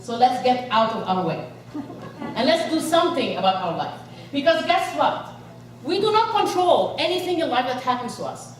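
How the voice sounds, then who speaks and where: Woman motivational speaker from Egypt, high and energetic, miked from a distance in a big echoing hall. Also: normal speed, slight background noise. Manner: energetic, rallies the crowd with questions. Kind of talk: inspirational speech to a live audience. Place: large event hall. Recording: echoey hall, voice from far off.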